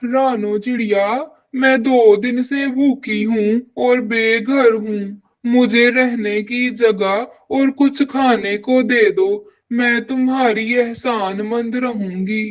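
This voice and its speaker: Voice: mid-range voice, steady tone, slow pace, few pauses, highs cut off, lightly acted. An actor voicing a female crow, a landlord's scheming wife, in a cartoon. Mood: weak, pleading. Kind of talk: Hindi cartoon dialogue.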